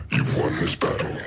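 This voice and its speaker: An actor playing a huge, contemptuous gorilla warlord in a video game, speaking with gloating menace. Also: male voice, close to an everyday voice. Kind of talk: video game cutscene acting. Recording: very noisy game soundtrack behind voice.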